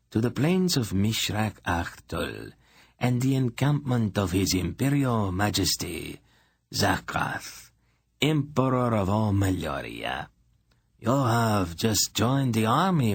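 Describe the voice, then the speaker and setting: Picture a silky, menacing male priest , as a narrator puts him on, purring each word slowly in an odd foreign accent. He sounds low and husky, with a dry close sound. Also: sing-song, no echo, full character voice. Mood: silkily menacing.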